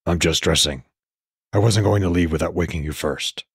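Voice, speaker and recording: Man voicing a very deep, weary old god. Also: moderate pace, slightly rough, dry recording, studio quality, heavily acted. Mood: firm, weary. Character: weary, stubborn old male Norse god.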